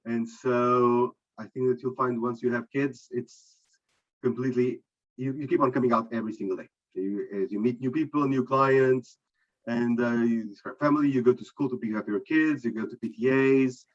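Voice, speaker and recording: A middle-aged man from Portugal, a panel guest speaking in a measured, reflective way and setting out his points one by one. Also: mid-range voice, fairly even intonation, highs cut off. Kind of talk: conference panel answer.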